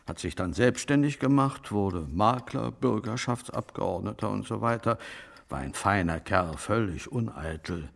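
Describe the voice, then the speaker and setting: A man's voice: fairly low pitch, lively intonation, unhurried, exaggerated voice. An actor playing an old father who dryly reminisces about the war in a naturalistic radio drama. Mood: dry, reminiscing. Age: older. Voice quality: creaky. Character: old German father, wartime auxiliary policeman, dryly reminiscing.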